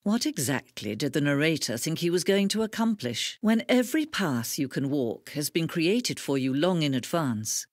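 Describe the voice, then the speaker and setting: A woman voicing a calm, knowing female narrator in a video game, speaking in a measured, solemn way straight to the player. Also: deep voice, no echo, studio quality. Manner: measured, solemn, directly addressing the listener. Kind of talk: scripted narration addressing the player. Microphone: close studio mic.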